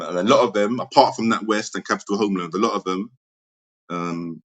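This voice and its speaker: Low-voiced man explaining property deals. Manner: steady, methodical, many ums. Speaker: guest, property investor. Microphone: remote audio-chat connection.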